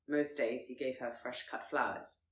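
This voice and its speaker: A woman voicing a proud, reserved and brooding Regency gentleman who speaks formally and gravely. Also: extremely low pitch, fairly even intonation, normal speed, echoey room, pitched below the performer's own voice. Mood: pained, reflective.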